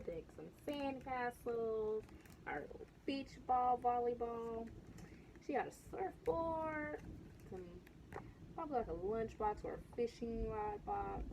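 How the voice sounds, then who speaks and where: A woman reads a picture book aloud for children at a very leisurely pace. Her voice sits a bit high, and she chats to her young listeners with casual questions and asides. There is slight noise in the background. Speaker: storybook reader.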